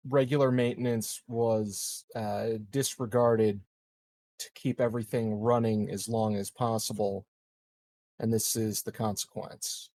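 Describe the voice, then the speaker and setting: A man offers casual remarks as a player in a tabletop game. His delivery is very slow and monotone at a middling pitch, with a dry, close sound. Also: dry recording.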